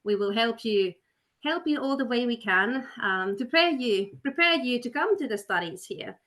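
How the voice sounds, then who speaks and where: A woman's voice: fairly high pitch, animated, moderate pace, fairly bright tone. A woman hosting an education webinar, upbeat and persuasive, with frequent fillers. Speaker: webinar host, study counselor. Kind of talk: webinar presentation. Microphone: video call.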